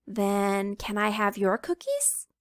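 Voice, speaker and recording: A woman voicing an excitable little chicken in a picture book read aloud, squealing and sing-song. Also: mid-range voice, slow, no echo, studio quality. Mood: eager. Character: excitable little girl chicken, chatty and pushy.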